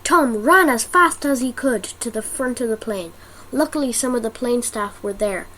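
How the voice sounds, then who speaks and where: A high female voice tells a scripted adventure story. She is the narrator of an amateur radio drama, delivering it as dramatic storytelling. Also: moderate pace, a bit bright.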